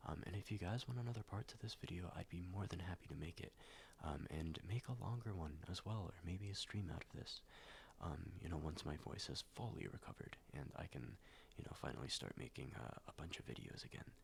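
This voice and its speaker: A young man with an extremely deep, gravelly voice murmurs in a soft-spoken ASMR style, slightly nasal and stuffed up from a cold. He hums and ums through his words and often drops into a whisper, with a faint hiss underneath. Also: animated. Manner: soft, slow, many ums and likes, drifting into whispers. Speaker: ASMR YouTuber.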